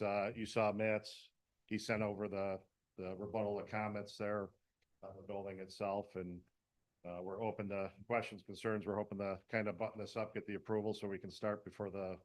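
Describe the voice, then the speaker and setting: A man with a low, fairly monotone voice walks a town planning board through his department's building plans. He talks in a loose, rambling way, padding with fillers and doubling back mid-sentence. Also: brisk. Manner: rambling, many fillers and restarts. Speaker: fire department representative presenting an application. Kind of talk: casual presentation at a public meeting.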